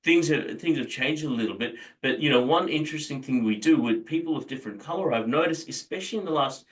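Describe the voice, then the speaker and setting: An Indian-born man speaking as an interview guest, measured and thoughtful, with frequent fillers. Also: fairly high pitch, lively intonation, far from the mic.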